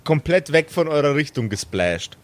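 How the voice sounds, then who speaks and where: A man's voice: on the high side, very animated, normal speed. A man acting as game master, improvising narration and banter for a tabletop role-play.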